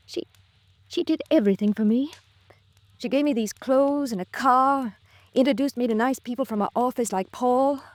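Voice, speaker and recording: An actress playing a distraught, fragile American widow in an old-time radio drama, with a halting, emotional delivery. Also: female voice, mid-range voice, a little mellow, no room sound, lightly acted. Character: fragile, distraught grieving widow, self-blaming and defensive.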